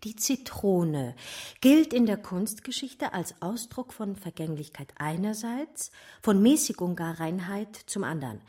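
An actress playing an educated art historian who recounts her love story in a radio drama, measured and eloquent. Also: mid-range voice, expressive, warm tone, husky, no echo, studio quality. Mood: measured, scholarly. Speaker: narrator and lead character. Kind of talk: literary radio drama narration.

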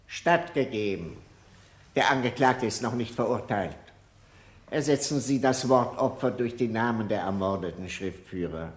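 Ein Schauspieler spielt in einem Hörspiel einen strengen, würdevollen vorsitzenden Richter. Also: male voice, lowish voice, lively intonation, normal speed, close to an everyday voice. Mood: stern, official. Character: stern, dignified male presiding judge. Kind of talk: German radio courtroom drama acting. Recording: reverberant courtroom-like room sound.

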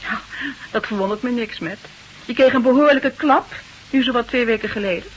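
An actress playing a solicitous, brisk wife in a Dutch radio play, coaxing and reassuring. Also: female voice, a little high, slightly rough, highs cut off. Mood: solicitous, explanatory. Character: solicitous, brisk wife tending her confused husband. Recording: old radio recording with light hiss.

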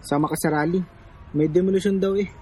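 An actor playing a casual slum activist, a friend, in radio drama dialogue. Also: male voice, high voice, steady tone, fast talker, a little mellow, husky, poor audio. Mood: casual, urging.

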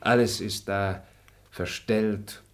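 An actor playing a noble, high-minded male traveller who speaks formally and moralizes in a radio drama. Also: fairly even intonation, slow. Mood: lofty.

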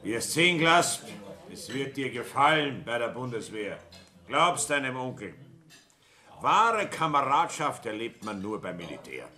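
An actor playing an opinionated uncle, hearty about military ideals, in a radio drama. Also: male voice, medium pitch, very animated, unhurried, pushed and bright, soft background music, exaggerated voice. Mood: self-assured. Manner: opinionated, instructive. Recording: slightly noisy old radio recording.

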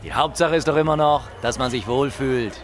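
A man's voice: medium pitch, moderate pace, lightly acted. An actor playing an awkward, jovial man making small talk full of hollow platitudes in a radio play.

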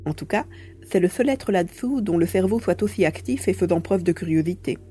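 Man voicing a husky, cheeky little mouse-beaver alien. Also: high-pitched, lively intonation, fairly quick, mellow, soft background music, voice pushed up. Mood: matter-of-fact. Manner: playful, grumbling. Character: mischievous male telepathic mouse-beaver alien, small and cheeky.

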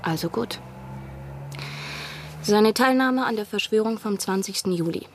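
A woman's voice: medium pitch, a little slow, a little hiss or hum, close to an everyday voice. Actress as an earnest female spy in love. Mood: insistent, arguing. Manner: earnest, intense, at times dropping to breathy whispers. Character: desperate female spy in love, pleading and persuading. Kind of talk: German radio drama acting.

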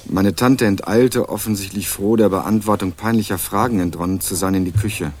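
A man's voice: on the low side, moderate pace, darkish tone. A young man narrating a radio crime drama in the first person with dry, wry irony. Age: young adult.

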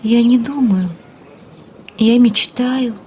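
Loving wife in a radio play, highish voice, takes her time, tender n dreamy. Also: slow pace, mellow, a little hiss or hum. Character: loving, wistful, girlishly dreamy wife of an academic. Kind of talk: audio drama acting.

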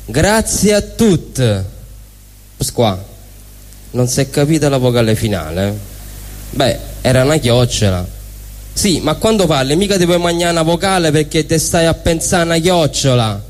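A man's voice: fairly high pitch, very animated, normal speed. Roman man on stage in a reverberant hall. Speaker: stage performer. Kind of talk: live comic dialogue reading. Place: indoor stage at a live event. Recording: reverberant live hall through PA.

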